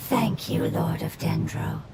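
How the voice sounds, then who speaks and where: Whispery, solemn elemental spirit. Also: female voice, low-pitched, takes it slowly, creaky, heavy background noise, heavily acted. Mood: grateful, reverent. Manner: solemn, formal, reverent. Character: solemn, grateful elemental spirit creature longing for home. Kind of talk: video game voice acting. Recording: loud game music behind.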